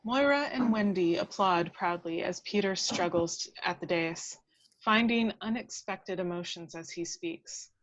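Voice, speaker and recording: A woman's voice: lowish voice, a little slow, dry recording. A woman reading dramatic action descriptions as scripted narration at a table read, on a lo-fi recording.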